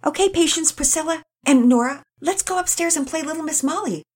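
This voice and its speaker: A woman's voice: sits high, fairly quick, pitched above the performer's own voice, close to an everyday voice. A woman doing an eager little girl. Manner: excited, bubbly. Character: cheerful eager young girl.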